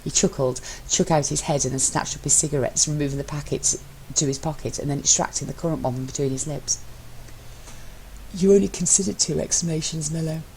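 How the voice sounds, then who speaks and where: A woman's voice: deep voice, fairly even intonation, fast talker, few pauses, a little mellow, a little hiss or hum, poor audio. A woman reading fiction aloud as an amateur narrator. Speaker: amateur fan fiction reader. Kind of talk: scripted story narration.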